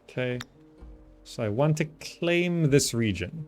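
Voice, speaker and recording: A man's voice: mid-range voice, expressive, slow pace, faint music behind. A man giving casual gameplay commentary, thinking aloud and repeating himself as he works things out. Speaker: gamer, channel host.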